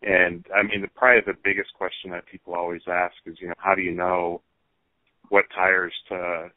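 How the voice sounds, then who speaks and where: A man talking casually as a racer being interviewed over the phone, pausing often as he thinks through his answers. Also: sits low, little pitch movement, dry recording. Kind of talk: casual phone interview about hobby racing. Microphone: telephone. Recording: tinny, band-limited phone line.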